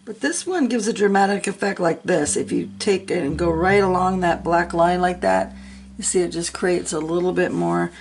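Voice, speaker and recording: A woman's voice: on the low side, moderate pace, gravelly, a little mellow, slight background noise. A middle-aged woman, a little sick and sniffling, chatting casually and encouragingly as she talks through a coloring tutorial. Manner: chatty and encouraging, talks to herself while working.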